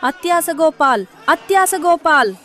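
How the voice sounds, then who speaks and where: A woman puts on enchanted storybook sweets, accusing and shrieking. The chant is pushed high and loud, with music behind. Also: lively intonation, normal speed. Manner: chanting shouts.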